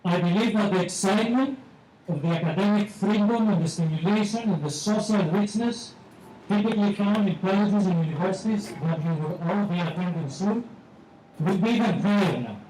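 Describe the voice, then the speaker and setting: A man with a Greek accent giving a measured graduation speech as a teacher, repeating phrases for emphasis. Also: high-pitched, a little mellow. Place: large hall at a ceremony. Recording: echoey hall, distant mic, faint noise.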